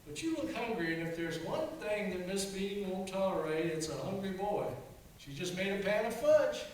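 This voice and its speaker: Man as folksy gruff farmer, kindly bluster, high-pitched, radio play, distant and very echoey. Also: animated, moderate pace. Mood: welcoming. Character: gruff but kindly bewhiskered male farmer. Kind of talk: stage radio-play acting. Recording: echoey hall, distant, faint noise.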